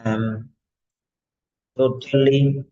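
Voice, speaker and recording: Man doing frail old client aged ninety, very slow, halting, muffled recording. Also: mid-range voice, fairly even intonation, warm tone. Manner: slow, halting dictation of each phrase. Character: frail ninety-year-old client moving to a retirement home.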